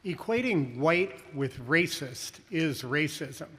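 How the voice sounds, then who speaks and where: Man, higher-pitched voice, scripted recitation, short lines, long hall reverb. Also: lively intonation, takes it slowly, fairly bright tone, slightly rough. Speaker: reader of short personal statements.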